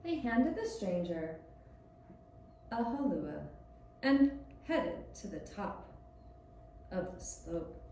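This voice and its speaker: A woman telling a scripted story for young children in a gentle, deliberate manner. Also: mid-range voice, very animated. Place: echoey room, puppet show performance. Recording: roomy echoing sound with faint hiss.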